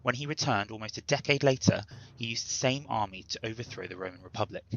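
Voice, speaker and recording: A man's voice: lowish voice, quick pace, a bit bright, muffled recording. A man delivering a scripted history introduction in a formal, emphatic, lecturing way. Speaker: presenter introducing a dramatic reading.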